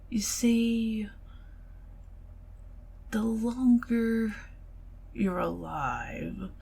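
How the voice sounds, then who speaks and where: A middle-aged woman with a Southern US accent, speaking softly and partly in whispers in an ASMR date roleplay. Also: fairly low pitch, creaky, husky. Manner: intimate, soft-spoken, giggly, partly whispered.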